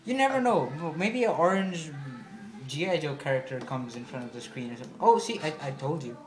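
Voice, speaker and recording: A goofy young man rambles and gets playfully defensive while joking with a friend, his voice a little high for a man. The small bedroom gives him a noticeable echo. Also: lively intonation, a little fast, background noise. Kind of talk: casual chat.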